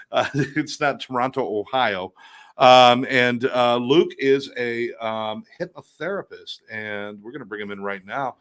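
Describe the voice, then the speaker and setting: A man's voice: mid-range voice, measured pace, fairly bright tone. A man co-hosting a show, upbeat and filler-heavy as he introduces the guest. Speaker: co-host.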